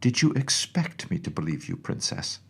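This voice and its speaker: A man's voice: mid-range voice, big pitch swings, normal speed, a bit bright, husky, pitched above the performer's own voice, exaggerated voice. A man voicing a stern, skeptical woman, a children's nurse, scolding and dismissive in storybook dialogue. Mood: cold, dismissive.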